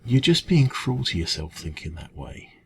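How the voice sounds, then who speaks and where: A man with an English accent playing a kind café dragon in an ASMR roleplay, talking gently and reassuringly in a creaky voice. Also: medium pitch, big pitch swings, moderate pace, slight background noise. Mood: earnest, reassuring. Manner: gentle, reassuring, hesitant conversational encouragement. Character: kind, caring male dragon who runs a café. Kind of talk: ASMR roleplay, comforting one-to-one talk.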